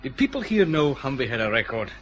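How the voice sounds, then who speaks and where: A shrewd police inspector, lively delivery. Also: male voice, medium pitch, fast. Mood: brisk, probing. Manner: probing, businesslike questioning. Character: shrewd, steady male police chief inspector. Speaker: actor in a radio detective drama. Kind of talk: audio drama acting.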